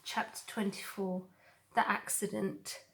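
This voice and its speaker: Female storybook narrator, medium pitch, slow pace, measured, expressive. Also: gravelly. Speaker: storybook reader. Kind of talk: scripted narration of a children's novel.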